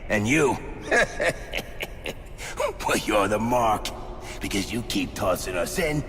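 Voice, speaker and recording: An actor playing a cynical, menacing game villain with mocking, theatrical delivery. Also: male voice, on the low side, big pitch swings, slow, creaky, fairly bright tone, full character voice. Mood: gloating, menacing. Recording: long reverberation with faint music.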